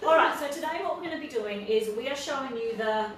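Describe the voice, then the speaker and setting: A woman's voice: high voice, quick pace, a bit bright, slight background noise. A woman in her thirties with an Australian accent, hosting a casual fashion live stream and laughing often. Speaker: fashion boutique host. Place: clothing studio with a rack, indoors. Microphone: far camera. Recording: roomy echo from far off.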